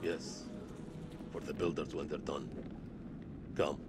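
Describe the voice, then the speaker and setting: An actor playing a grave ancient soldier in a video game, speaking in a solemn, measured way. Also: male voice, very deep voice, level tone, over loud music. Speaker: game character.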